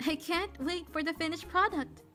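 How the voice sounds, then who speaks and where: A woman's voice: very high voice, moderate pace, sharp tone, soft background music. A woman playing a polite, studious young female companion in a comic dub, explaining things precisely and earnestly. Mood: curious.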